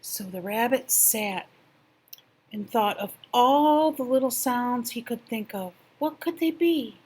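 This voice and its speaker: An older woman reading a children's picture book expressively, gentle and drawn-out, hushed in places. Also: on the high side, expressive, breathy edge. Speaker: storyteller.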